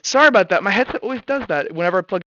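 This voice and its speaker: A man's voice: high-pitched, animated, racing, pushed and bright, dry recording, lo-fi sound. A man acting as game master, casually narrating a tabletop game and talking through rules and dice rolls on a headset.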